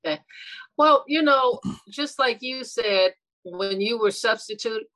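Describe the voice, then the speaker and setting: A woman's voice: a little high, unhurried, no room sound, poor audio. Earnest middle-aged woman, dry sound. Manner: earnest, reflective, with frequent restarts and soft laughs. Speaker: retired educator and school administrator, guest. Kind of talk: casual interview conversation.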